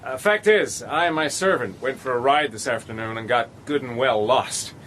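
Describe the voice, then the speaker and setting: A man's voice: medium pitch, moderate pace, slight background noise, natural voice. A man playing a bluff, drawling adventurer. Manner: drawling, casual bluster in dialogue. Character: bluff adventurer posing as American, narrating. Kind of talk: radio drama narration and dialogue. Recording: loud music score behind voice.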